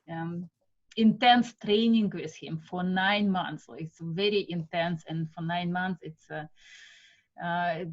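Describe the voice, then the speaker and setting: Slow-talking Russian woman teaching online. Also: mid-range voice, lo-fi sound. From Siberia, Russia. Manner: halting, many fillers, warm welcomes. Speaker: art method instructor. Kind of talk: live online class introduction. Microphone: video call.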